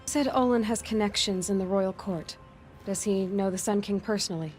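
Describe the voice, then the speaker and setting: An actress playing a self-reliant young huntress in a video game, direct, matter-of-fact and determined. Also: female voice, mid-range voice, moderate pace, darkish tone, faint music behind, natural voice. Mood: probing.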